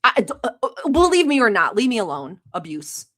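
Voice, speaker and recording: A woman's voice: on the high side, sing-song, brisk, very noisy. Chatty, teasing Turkish-born woman streamer. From Turkey. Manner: chatty, teasing, laughs often, swears casually. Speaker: livestream host. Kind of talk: casual livestream chat reacting to a video.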